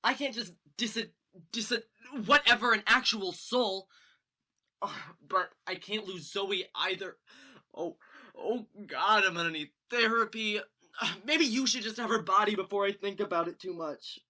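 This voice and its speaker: Young woman playing worried teenage best friend, bright forceful voice, stammering, earnest, student theatre acting. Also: medium pitch, unhurried. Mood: distressed. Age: young adult. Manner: flustered, stammering, emphatic. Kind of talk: student one-act stage acting.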